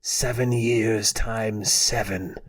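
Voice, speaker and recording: A gloating undead wizard, as a man puts him on, hissing out his words with slow menace. The voice sits low and drawn out. It is the villain of a dark fantasy audiobook. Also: fairly even intonation. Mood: menacing. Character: sinister undead male wizard, vengeful and gloating. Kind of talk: audiobook character voice.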